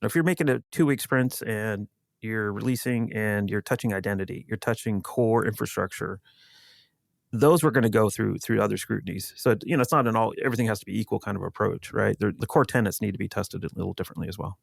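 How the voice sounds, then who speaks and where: A man speaking as an expert guest in an unscripted panel discussion, in a measured explanatory way. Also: on the low side, vocal fry, dry recording, studio quality. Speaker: guest security executive.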